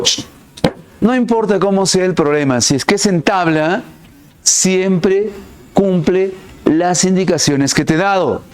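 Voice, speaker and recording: A male math teacher explaining a problem step by step, asking rhetorical questions and stressing key words with big swings in pitch. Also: clear, resonant tone. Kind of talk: recorded online class.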